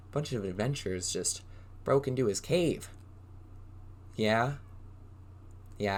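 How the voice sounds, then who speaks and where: A man reading a storybook aloud as a preschool drama teacher, in an exaggerated, animated way, prompting young listeners with questions. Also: on the low side. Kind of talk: interactive children's read-aloud.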